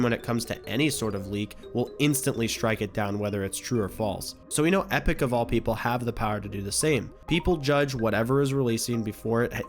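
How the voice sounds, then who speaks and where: Raspy young man ranting about games. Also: mid-range voice. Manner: ranting, blunt, casual with swearing. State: raspy from illness. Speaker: gaming YouTuber. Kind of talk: opinionated commentary vlog.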